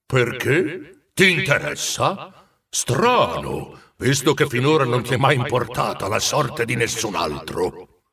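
A man's voice: mid-range voice, big pitch swings, takes it slowly, bright, forceful tone, far from the mic, heavily acted. A man voicing a giant, jovial but blunt ghost of Christmas in a storybook reading. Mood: grave, curious. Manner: amused, sighing, weighty delivery.

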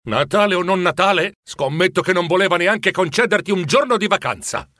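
Storybook wife, played by a male narrator: sharp-tongued, loving underneath, grudging, high-pitched, animated. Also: fairly quick, dry recording, studio quality. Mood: indignant. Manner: grudging, scolding.

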